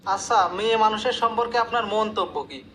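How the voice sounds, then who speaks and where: A man's voice: sits high, animated, a little fast, fairly bright tone, a little hiss or hum. A man playing a stern, bossy, easily exasperated job interviewer with formal questioning in a comic cartoon skit. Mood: formal, testing.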